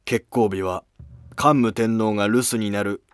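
A man's voice: lowish voice, fairly even intonation, measured pace, clear, resonant tone, no room sound, studio quality, lightly acted. A man playing an ailing, wary old noble who masterminds plots, with terse archaic speech, in a history drama. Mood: terse, scheming.

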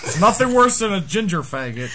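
A man's voice: high voice, big pitch swings, brisk, a crowd in the background. A young man in casual chat, throwing in crude wisecracks.

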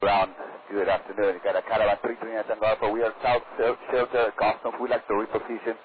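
A man's voice: on the low side, little pitch movement, fast. A man, a pilot, making calls to ground control over the radio. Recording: tinny cockpit radio with static.

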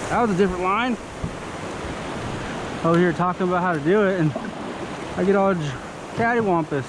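A young man, excitedly narrating his kayak fishing from an action camera on a river. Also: sits high. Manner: excitable, exclaiming, instructive. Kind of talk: excited on-the-water commentary. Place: outdoors on a rushing river in a kayak. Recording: loud rushing water noise.

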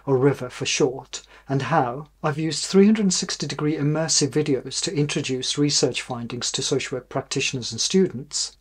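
A middle-aged British man giving a measured, explanatory presentation to camera as an academic. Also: a little high, slightly rough. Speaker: university professor presenting research.

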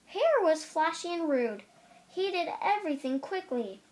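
High-pitched, slow-paced young storybook reader. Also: big pitch swings. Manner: careful reading with occasional stumbles. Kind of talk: reading a children's picture book aloud.

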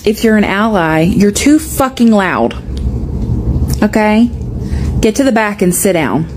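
A woman speaking bluntly and emphatically to camera, deliberate and prone to swearing, with a sarcastic edge. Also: medium pitch, very animated. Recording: clear ambient background noise.

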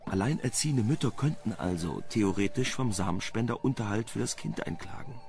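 Creaky low-voiced wry male journalist. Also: expressive, a little fast, a little mellow. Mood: dry, informative. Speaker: radio drama actor. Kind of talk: German crime radio play acting.

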